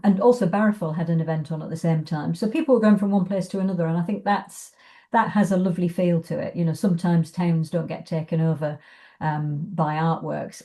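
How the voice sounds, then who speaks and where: A woman with a fairly low, soft-edged, relaxed voice talks casually as an interview guest. She is chatty and hesitant, often feeling for the next phrase as she speaks. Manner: hesitant, chatty, thinking aloud. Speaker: arts organisation guest.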